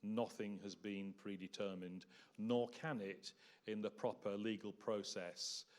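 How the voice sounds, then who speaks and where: A man giving a presentation at a public meeting in a hall, measured and hesitant with frequent ums and uhs. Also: on the low side, fairly even intonation, darkish tone. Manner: measured, hesitant with many fillers.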